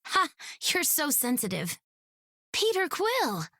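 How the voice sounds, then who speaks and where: An actress playing an empathic alien heroine in a video game, calm and formal with gentle teasing. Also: female voice, high-pitched, slow, dry recording, clean studio sound, exaggerated voice. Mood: playful.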